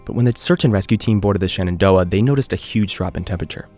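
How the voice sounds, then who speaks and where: A man playing an earnest island radio host, narrating an eerie mystery in a measured, conversational podcast style. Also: low voice, darkish tone, faint music behind, natural voice. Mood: grave, intrigued. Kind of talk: scripted audio drama narration, confessional podcast.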